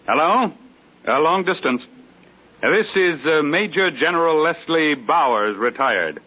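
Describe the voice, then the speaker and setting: Husky, blustery old American general. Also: male voice, fairly high pitch, expressive, unhurried. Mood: pleased, brisk. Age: older. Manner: blustery, emphatic, folksy exclamations. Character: crusty old retired army general, blustery. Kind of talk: 1950s radio drama acting. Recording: dull vintage 1950s radio recording.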